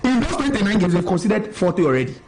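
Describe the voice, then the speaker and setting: High-pitched argumentative man. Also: very animated, rapid-fire, darkish tone, a little hiss or hum. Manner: argumentative, repeats himself, laughs between points. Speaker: football pundit. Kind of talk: heated studio debate. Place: television studio.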